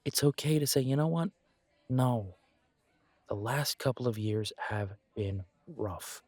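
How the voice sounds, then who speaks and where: A man's voice: mid-range voice, darkish tone, slightly rough, no room sound. A man playing a supportive, self-deprecating best friend in a conversational roleplay audio, talking in a reassuring, reflective way. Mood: empathetic. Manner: reassuring, chatty, reflective pauses. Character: warm, encouraging male best friend, self-deprecating, gently earnest.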